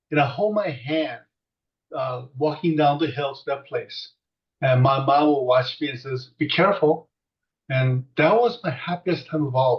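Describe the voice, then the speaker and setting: Older Korean-born man, storytelling interviewee, rambling with fillers; mic set far off. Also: medium pitch. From South Korea. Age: 60s. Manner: rambling, many fillers and restarts. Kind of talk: reminiscing storytelling. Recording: distant muffled mic.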